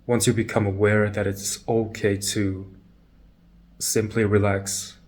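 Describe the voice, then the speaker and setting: A man leading a guided meditation talk, calm and measured, pausing between short phrases. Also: lowish voice.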